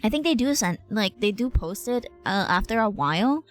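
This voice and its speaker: A woman's voice: medium pitch, animated, normal speed, faint music behind. A woman streaming a game with casual live commentary, chatty, rambling and giggly, using plenty of slang.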